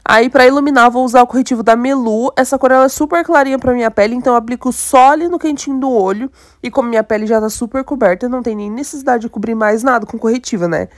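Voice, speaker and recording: A woman's voice: on the high side, fast talker, few pauses, no room sound. Brazilian girl w a stuffy nasal voice from a cold, gushing about makeup to her viewers, dry sound. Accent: Brazilian Portuguese. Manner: chatty, enthusiastic, addresses viewers directly. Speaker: beauty vlogger. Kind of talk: casual makeup tutorial chat.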